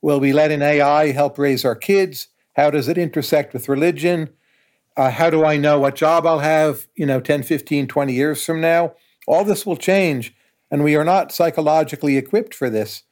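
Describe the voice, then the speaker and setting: A man in his sixties, a professor and guest, giving measured, confident opinions in an interview. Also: fairly high pitch, darkish tone. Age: 60s. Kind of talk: conversational interview.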